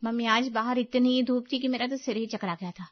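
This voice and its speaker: A woman voicing a breezy young college-going sister-in-law in an animated family story. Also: a little high, fairly quick, dry recording, dull audio, lightly acted.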